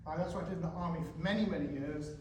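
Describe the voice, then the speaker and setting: A man in his forties speaks to a room of teenagers, often stopping to put questions to them. His voice sits fairly high, and he is picked up from a distance, so the big hall echo swallows his words. A faint murmur of room noise hangs underneath. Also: racing. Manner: animated, asks the audience questions. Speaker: guest speaker. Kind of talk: school talk to teenagers. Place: school hall. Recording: echoey hall, speaker far from mic.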